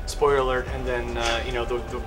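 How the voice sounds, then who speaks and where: A man's voice: mid-range voice, flat delivery, fast talker, over music. A man co-hosting a video game show, chatting casually and opinionatedly about films, repeating words for emphasis. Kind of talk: casual opinionated movie countdown chat.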